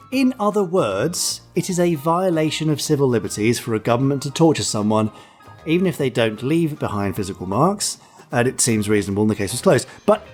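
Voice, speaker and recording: A man hosting a light-hearted podcast, speaking in a wry, mock-serious and theatrical way. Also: on the high side. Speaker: podcast host. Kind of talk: light-hearted podcast chat with read-out segments.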